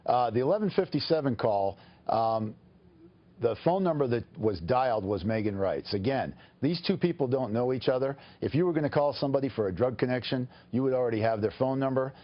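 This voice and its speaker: A man speaking as an attorney in a live TV news interview, explaining formally and haltingly. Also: mid-range voice, expressive, dull audio.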